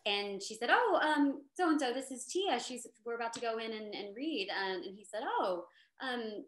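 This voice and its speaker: A woman actor in her thirties telling a story on a video-call panel, animated and chatty, with many fillers and restarts. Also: fairly high pitch, normal speed. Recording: roomy echo.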